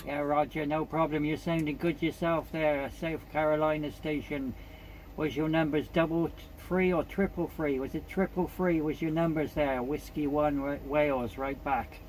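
A man's voice: fairly high pitch, steady tone, normal speed, a little mellow, a little hiss or hum, rough recording. A man working a CB radio, politely exchanging call signs in a procedural, repetitive way. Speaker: amateur CB radio operator.

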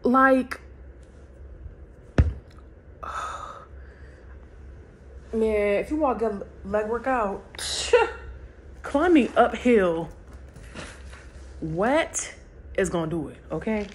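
A woman's voice: mid-range voice, extremely slow, long pauses, slight background noise. Chatty woman vlogging in an echoey hotel room. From Haitian heritage. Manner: animated, loud bursts of laughter, slangy talk to viewers. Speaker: travel vlogger. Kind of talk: casual chatty solo travel vlog. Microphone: camera on tripod, some handheld selfie shots.

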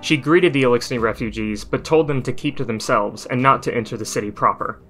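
A man's voice: mid-range voice, brisk, bright, forceful tone. A man narrates game lore as a video creator, in a mid-range voice. The delivery is scripted commentary, with music playing underneath.

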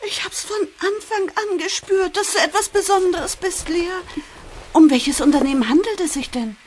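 A woman's voice: very high-pitched, normal speed, a little mellow, a little hiss or hum. An actress playing a pushy, gushing, fashion-mad schoolgirl in a children's audio drama, insistent and dramatic. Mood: awestruck.

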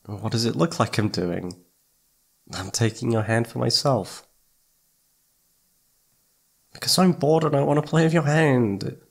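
A sweet, needy young boyfriend, acted by a man for an ASMR roleplay. His mid-pitched voice has plenty of creak in it. He is playful and pouty, teasing closely and tripping over words when flustered. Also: unhurried, long pauses. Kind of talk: intimate ASMR roleplay acting.

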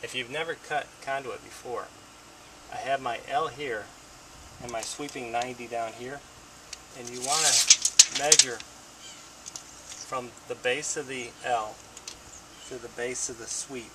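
A man's voice: medium pitch, measured pace, long pauses, noisy background. A middle-aged man giving a plain, step-by-step how-to demonstration of wiring a solar setup, talking while he works. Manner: plain step-by-step explaining, relaxed. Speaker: DIY instructor. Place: outdoors by a house, then indoor workshop. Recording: slightly distant camera audio with faint ambience.

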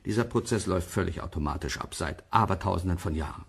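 A man's voice: low voice, fast talker, vocal fry, slightly rough, exaggerated voice. An actor playing a proud, precise male professor who speaks emphatically in a German sci-fi radio play. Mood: pedantic.

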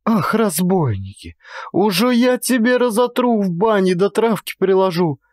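Audiobook role: doting old Cossack mother played by a man, high-pitched, plaintive, swooping laments. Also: very animated, no echo, clean studio sound. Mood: wailing, distressed. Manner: plaintive lamenting, drawn-out cries.

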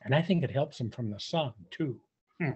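An older man reading a picture book aloud to young children, with drawn-out emphasis and playful questioning. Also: mid-range voice, lively intonation, a little mellow, husky. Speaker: volunteer storybook reader for young children. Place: indoors, on a video call. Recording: dull, muffled webcam audio.

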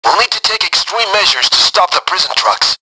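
An actor playing a brisk, commanding man who plans heists, giving clipped mission orders over the radio in a video game. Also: high-pitched, sing-song, pushed and bright, husky, full character voice, poor audio. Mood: briefing, measured. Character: brisk, commanding male heist planner directing a gang.

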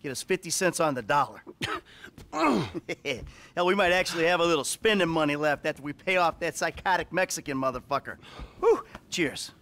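A weary, wry former robber with a slightly high, expressive voice. He sounds laid-back, brushing things off with dry defensiveness. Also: normal speed. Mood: relaxed. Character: weary middle-aged male ex-robber. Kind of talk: video game cutscene acting.

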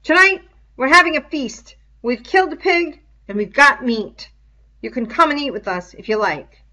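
Storybook boy chief played by a woman: boastful, commanding, raised high pitch, forceful tone. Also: animated, moderate pace. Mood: loud, boastful. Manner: loud, commanding declaiming. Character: bossy, boastful savage boy chief in war paint. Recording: dull, muffled lo-fi home recording.

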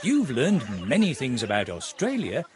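A cheerful narrator for a children's cartoon game. His medium-pitched voice is hugely expressive, encouraging and instructive. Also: normal speed, a crowd in the background.

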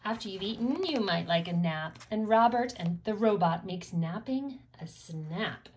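A woman with a medium-pitched voice reads a rhyming picture book to children. Her delivery is expressive and follows the bounce of the verse, with clear stresses on key words. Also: unhurried. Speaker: host reading a picture book aloud. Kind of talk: expressive rhyming storybook read-aloud for children.

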